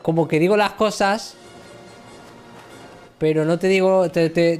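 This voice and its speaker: A man's voice: high-pitched, steady tone, a little slow, faint music behind. A man in his thirties chatting casually with his viewers while he plays, joking and mocking himself from his gaming chair at home. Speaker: livestream gamer. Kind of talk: casual banter with chat.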